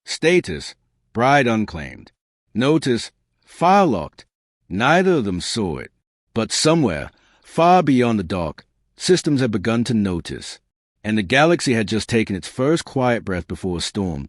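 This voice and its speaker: A man narrating a science fiction story in a measured, dramatic way with short pausing phrases. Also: fairly low pitch, dry recording, studio quality. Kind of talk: scripted dramatic sci-fi audiobook narration.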